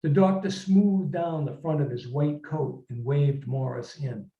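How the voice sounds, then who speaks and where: A man's voice: a little high, a little slow. A man reading his own short story aloud as literary narration. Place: indoor room at a reading event. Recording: roomy, slightly dull room recording.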